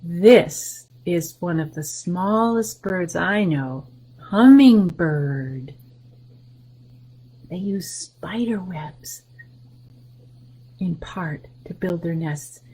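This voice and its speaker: Soft-spoken woman reading to kids. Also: fairly low pitch, animated, drawn-out words, pauses often, husky, rough recording. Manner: gentle, explains pictures between rhymes, often drops to a soft whisper. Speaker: library story time host. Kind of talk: reading a picture book aloud to children.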